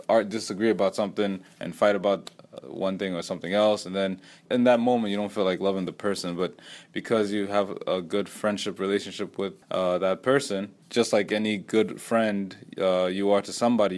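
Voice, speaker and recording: Deep-voiced young man, easygoing. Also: darkish tone. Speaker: podcast co-host. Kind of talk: casual chat.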